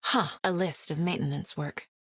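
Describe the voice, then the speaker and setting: A determined female investigator in a video game. Her voice is lowish and clearly husky, recorded very dry and close. She sounds introspective and focused, keeping things understated and natural. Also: steady tone, moderate pace, fairly bright tone, dry recording. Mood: thoughtful, focused. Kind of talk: video game character voice lines.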